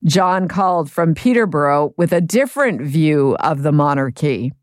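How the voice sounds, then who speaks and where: A woman's voice: low voice, expressive, normal speed, dry recording, studio quality. A woman presenting a radio show from a script as its host.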